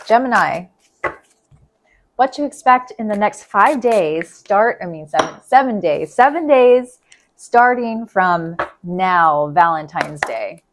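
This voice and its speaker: A woman reading tarot in a casual, chatty way, thinking aloud and repeating herself, her voice going hoarse. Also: mid-range voice, expressive, slow, noisy background. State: losing her voice. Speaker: tarot reader.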